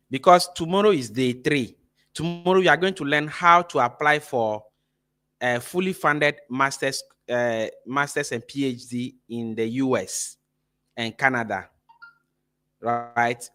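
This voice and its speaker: A man's voice: medium pitch, bright, forceful tone. A man from Ghana hosting a live-streamed workshop for teachers, speaking energetically through a phone mic and often checking in with "right?". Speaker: workshop host and teacher trainer. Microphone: phone camera mic.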